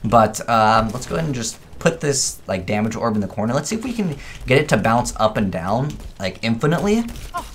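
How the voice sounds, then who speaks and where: A man's voice: medium pitch, sing-song, fairly quick, a little hiss or hum. A man giving casual, off-the-cuff gameplay commentary, thinking aloud and questioning himself as he goes. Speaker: gaming video creator.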